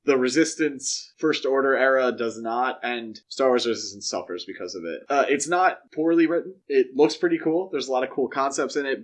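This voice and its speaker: Man, podcast host, medium pitch, casual discussion, opinionated but measured, conversational, hedged phrasing.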